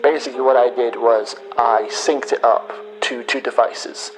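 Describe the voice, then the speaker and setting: A man playing a bitter hacker in a sci-fi audio drama, speaking deliberately with pauses as he accuses a former friend. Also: medium pitch, steady tone, slight background noise, lightly acted, rough recording. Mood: confessional, deliberate. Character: bitter male hacker confessing revenge to his betrayed friend.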